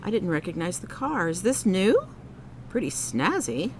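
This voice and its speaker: An ambitious, anxious woman investigator in a radio drama. Her delivery is lively and emphatic with a sardonic edge, over a faint rumble. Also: unhurried. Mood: curious, businesslike. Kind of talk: contemporary radio drama acting.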